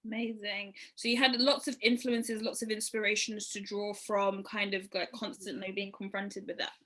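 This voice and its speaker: A woman's voice: medium pitch, flat delivery, normal speed, a bit bright. A young woman hosting a casual online interview, upbeat and gushing, with many fillers. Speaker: interview host.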